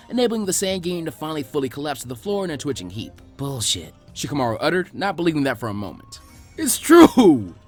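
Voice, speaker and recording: A man narrates a fan fiction story in a lively, varied delivery. His voice sits a little high, and faint music plays behind him. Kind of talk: animated scripted story reading.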